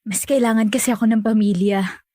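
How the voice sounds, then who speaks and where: A woman's voice: on the high side, fairly even intonation, brisk, warm tone, no echo, clean studio sound, natural voice. A young woman playing a resigned, dutiful family caretaker in natural radio drama dialogue, self-effacing and accommodating.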